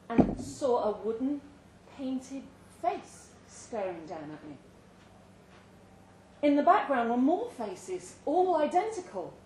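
A woman giving an expressive, theatrical reading of a suspenseful short story at a live indoor event. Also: a little high. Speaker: story reader. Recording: roomy live venue, distant mic, faint noise.

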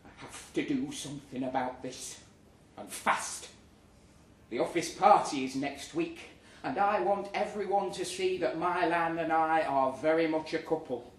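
A man's voice: a little high, unhurried. A man performs a comic short story live in a pub, narrating with sardonic, indignant theatricality. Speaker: story reader. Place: live reading event in a pub. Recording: echoey, noisy pub room, distant mic.

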